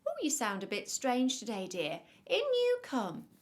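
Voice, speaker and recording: Woman playing a kindly, high-voiced old granny. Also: wildly swooping pitch, a little slow. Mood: welcoming. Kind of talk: children's storybook character voice.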